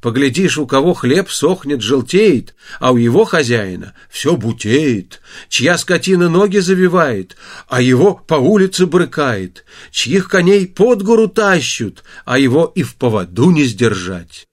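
A male storyteller reads a folk tale with theatrical flair. His fairly high voice swings widely in pitch, giving the folksy narration a very lively shape. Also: measured pace. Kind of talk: expressive fairy-tale audiobook narration.

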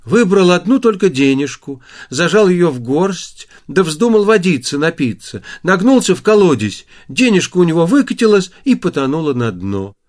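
A male storyteller reads a folk tale with theatrical flair. His fairly high voice swings widely in pitch, giving the folksy narration a very lively shape. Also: moderate pace, few pauses. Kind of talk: expressive fairy-tale audiobook narration.

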